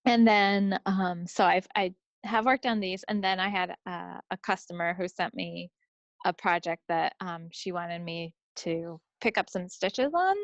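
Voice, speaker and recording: Female co-host for casual craft chat, easygoing and a little halting, fry in the voice, dry recording. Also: fairly low pitch, no echo, highs cut off. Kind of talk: casual chat about projects. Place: recorded at own end of a remote video call.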